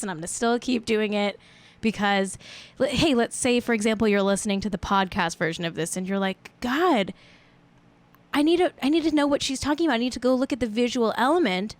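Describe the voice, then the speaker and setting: A woman's voice: a little high, lively intonation, brisk, no room sound. A woman in her late twenties hosting a live stream show, chatting in a rambling, playful, self-mocking way. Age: 20s. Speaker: show host. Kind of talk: casual livestream chat.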